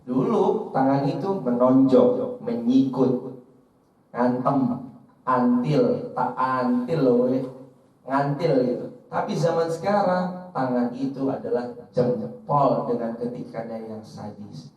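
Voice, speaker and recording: Mellow-voiced male preacher in echoey room. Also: medium pitch, takes it slowly. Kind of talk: sermon to an audience, quoting and translating Arabic scripture. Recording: roomy echo, distant mic, slightly dull.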